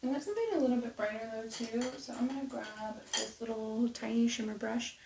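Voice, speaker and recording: Woman w a fading voice doing makeup talk, kinda high, echoey room, soft half-whispers. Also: fairly quick, creaky, background noise. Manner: chatty and rambling, soft near-whispered asides. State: losing her voice. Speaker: beauty vlogger. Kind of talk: casual get-ready-with-me makeup chat. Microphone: close mic at desk.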